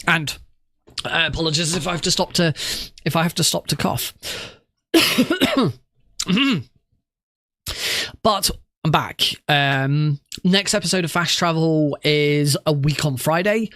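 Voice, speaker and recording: A man's voice: on the high side, lively intonation, slow pace, long pauses, a bit bright, dry recording, clean studio sound. A man with a cough and a congested nose, chatting casually to stream viewers in a rambling way with many restarts. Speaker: streamer and marathon organiser.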